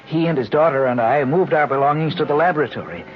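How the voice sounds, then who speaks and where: A man's voice: fairly high pitch, quick pace, natural voice. An actor playing an earnest, eager scientist in an old radio drama, articulate and formal in his delivery. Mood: grave narrating. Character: earnest, eager scientist assistant recounting events. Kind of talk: 1950s radio drama acting and narration. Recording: muffled old radio recording, loud music.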